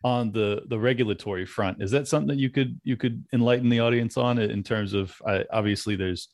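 A man's voice: medium pitch, fairly quick, dry recording, studio quality. A man hosting a podcast interview, friendly and joking with his guest. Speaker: podcast host. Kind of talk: casual interview chat.

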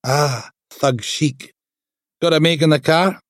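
A man voicing a gruff, middle-aged Scottish female detective inspector in an audiobook, blunt, slangy and mocking. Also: fairly high pitch, slow pace, dry recording, clean studio sound, voice pushed up. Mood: sardonic, impatient.

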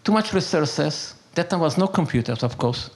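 Older Hungarian man, halting and gravelly. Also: on the high side, lively intonation. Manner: halting, broken English, emphatic, repetitive. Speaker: interviewee telling his life story. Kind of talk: unscripted conversational storytelling and philosophizing.

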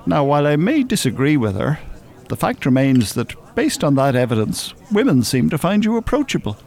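A well-spoken older gentleman from a radio play. His pitch moves in a lively way as he talks, with formal, wordy phrasing and a dry, teasing manner. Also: a little fast. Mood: wry. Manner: formal, wordy, drily mocking. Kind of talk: radio drama dialogue.

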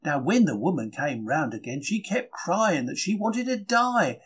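A man's voice: on the high side, animated, unhurried, husky. A man reading a diary as a chatty, wry 1930s London bookseller, droll and anecdotal in manner. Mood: animated storytelling. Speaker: diary reader.

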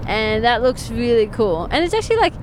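Higher-voiced Australian woman, clear road noise. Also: very animated, brisk, low-quality recording. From New South Wales, Australia. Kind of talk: casual chat. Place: inside a moving car.

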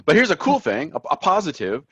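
A man's voice: fairly high pitch, wildly swooping pitch, fast talker, pushed and bright, slightly rough, no echo. Chatty man hosting a sports podcast. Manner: laughs often, chatty and upbeat. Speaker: podcast host. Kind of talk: casual sports podcast chat. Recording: dull lo-fi sound.

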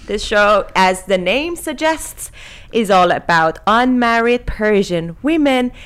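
A woman's voice: mid-range voice, lively intonation, slow, fairly bright tone, clean tone. A woman in her thirties from Iran who has lived in many countries, co-hosting a casual panel discussion. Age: 30s. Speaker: podcast co-host.

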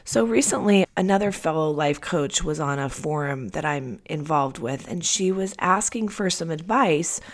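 Lower-pitched woman podcaster with a head cold. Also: expressive, moderate pace, few pauses. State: congested with a cold. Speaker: podcast host. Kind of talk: casual solo podcast talk.

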